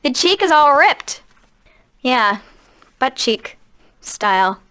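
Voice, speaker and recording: High-voiced giggly young-sounding woman, casual. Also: big pitch swings, slow pace, fairly bright tone. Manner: giggly, informal, chatty. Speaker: voice actress. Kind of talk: casual studio outtakes between takes. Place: dry recording studio.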